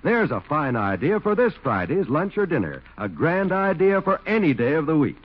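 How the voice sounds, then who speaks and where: A man's voice: a little high, very animated, normal speed. A man reading a radio commercial as announcer, in a hearty, persuasive manner. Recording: dull old radio broadcast.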